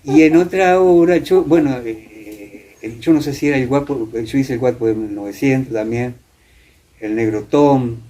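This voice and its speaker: An older man with an Argentine accent, reminiscing in casual conversation, haltingly and often repeating words. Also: mid-range voice, dark tone. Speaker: interviewee, veteran radio drama actor.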